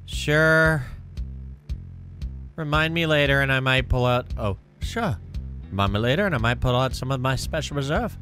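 A man's voice: fairly high pitch, steady tone, slow, long pauses, bright, forceful tone, pitched above the performer's own voice. Man playing an easygoing, teasing male bartender. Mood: breezy. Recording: music playing behind the voice.

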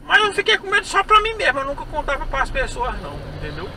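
A man's voice: extremely high pitch, huge pitch swings, fast talker. A young man from Brazil who drives a ride-share dressed as a clown, chatting and joking with his passengers and laughing often. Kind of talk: casual prank chat with passengers. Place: inside a car at night. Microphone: dashboard camera mic. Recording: distant in-car mic with road noise.

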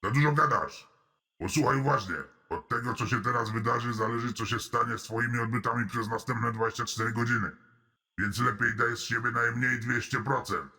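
A man voicing a sleazy, menacing male prison inmate in an animated comedy, with a deadpan, mock-friendly manner. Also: medium pitch, a little fast, slightly rough, full character voice.

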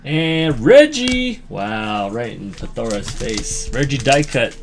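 A man hosting a card break, reading out names as he opens packs and chatting with viewers, with sudden bursts of excitement. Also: mid-range voice, slow, noisy background. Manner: reads names aloud, animated bursts of excitement, chats with viewers. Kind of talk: casual live card-opening commentary. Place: indoor room, hands over a table of card boxes.

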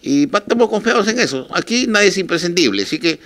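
An older man with a Peruvian Spanish accent speaks haltingly and informally in an interview, addressing his listeners. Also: high voice, sing-song, a bit bright. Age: 60s or older. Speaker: radio station manager, interviewee. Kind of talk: informal televised statement to listeners. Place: small office, indoors. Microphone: handheld reporters' microphones held close.

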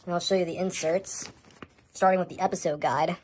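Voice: mid-range voice, fairly even intonation, brisk, slight background noise, low-quality recording. An American speaker talking casually to a handheld camera indoors at home, showing items in a vlog update. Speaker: host of a home media collection update. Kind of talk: casual show-and-tell vlog.

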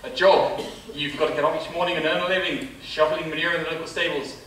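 A man's voice: fairly high pitch, fairly even intonation, fast, fairly bright tone, a little hiss or hum, close to an everyday voice. A young man playing an exasperated servant tutor in a stage pantomime, deferential yet scolding and theatrical. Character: exasperated male servant tutor to a childish prince. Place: theatre stage, filmed from far camera. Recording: roomy theatre recording from far off, music.

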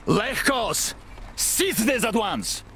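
An actor playing a stern, dutiful army commander in a video game, speaking formally and with indignant authority. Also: male voice, high voice, sing-song, very slow, sharp tone, heavily acted. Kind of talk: dramatic video game voice acting.

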